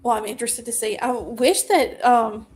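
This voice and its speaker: A woman's voice: a little high, normal speed, a little mellow, slight background noise. A woman with a Southern US accent, casually chatting about movies on a podcast in a laid-back way. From Deep South of the US. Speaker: podcast co-host. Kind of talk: casual movie review chat.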